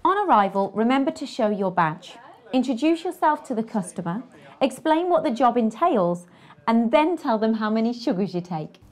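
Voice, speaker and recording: A woman's voice: on the high side, sing-song, moderate pace. A British woman in her thirties presenting scripted instructions for a training film.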